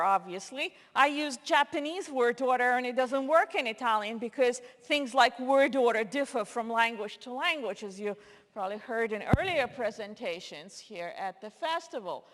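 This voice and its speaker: A woman's voice: high voice, fairly bright tone. A woman who speaks Russian natively, giving a conference lecture in a hall with many fillers and restarts. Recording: long hall reverb.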